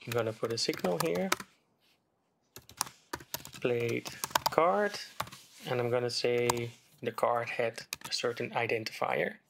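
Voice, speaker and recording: A man giving a screen-recorded coding tutorial, explaining step by step in a relaxed way while he types. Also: mid-range voice, slow pace, vocal fry, long pauses, noisy background. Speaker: game development tutor. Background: keyboard typing and mouse clicks.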